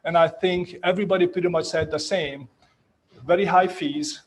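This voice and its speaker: A man's voice: fairly high pitch, steady tone, moderate pace, a little hiss or hum. A man in his fifties with a Hungarian accent, telling his career story casually on a panel and often adding "right?". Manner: chatty, self-mocking, leans on "right?" tags. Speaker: real estate agent on a panel.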